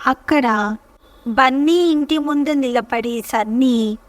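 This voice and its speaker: A woman narrating a scripted children's story as storyteller, with measured, careful phrasing. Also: sits high, no echo, recorded from a distance.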